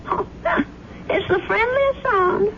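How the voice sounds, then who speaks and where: A woman's voice: extremely high pitch, slow pace, heavily acted. An actress playing a kindly, fussy elderly landlady in a 1970s radio drama. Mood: chatty, gentle. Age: older. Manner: fussy, chatty, motherly. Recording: dull, noisy old radio broadcast.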